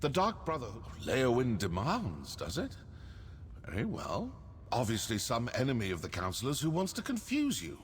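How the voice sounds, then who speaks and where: A weary condescending assassin in a video game, theatrical, expressive, long reverb with slight background noise. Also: male voice, medium pitch. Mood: scornful, impatient. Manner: sardonic, deliberate, theatrical. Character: condescending male assassin of a dark brotherhood, weary.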